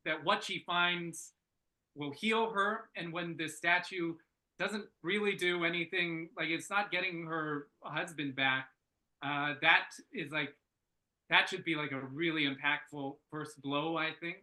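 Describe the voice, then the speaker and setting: A man with a very bright, forward voice, pitched fairly high. He talks informally and enthusiastically, feeling his way through feedback and often halting as he thinks aloud. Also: low-quality recording. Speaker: script reader giving feedback. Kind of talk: casual workshop feedback discussion.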